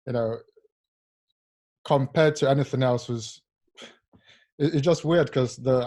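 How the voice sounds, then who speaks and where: A young man from Nigeria, a rower, telling his life story in an interview in a soft, halting way with many fillers. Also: medium pitch. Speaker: Paralympic rower, guest. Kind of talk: casual interview, personal story.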